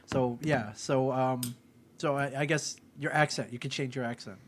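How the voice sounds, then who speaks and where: A man from Ohio co-hosting a loose podcast chat at a table mic. He delivers crude jokes in a flat, deadpan tone and keeps his energy low-key. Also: normal speed, a little hiss or hum. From Ohio, USA. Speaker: podcast co-host.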